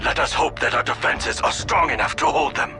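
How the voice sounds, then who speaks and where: A man's voice: mid-range voice, fairly quick, lightly acted. A man voicing a dutiful, resolute alien military commander with formal manners in a video game cutscene. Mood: urgent, commanding. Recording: loud music behind the voice. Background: loud music.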